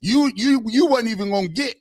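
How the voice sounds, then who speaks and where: A man hosting a casual live-stream podcast, talking in an animated, slangy way with frequent laughs. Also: extremely high pitch, fairly quick, dry recording, clean studio sound. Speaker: podcast host.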